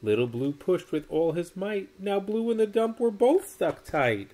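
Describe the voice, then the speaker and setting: Slow, lively man reading aloud. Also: sits high. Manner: measured, sing-song rhyming delivery. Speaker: storybook reader. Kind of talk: expressive children's read-aloud. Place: small indoor room.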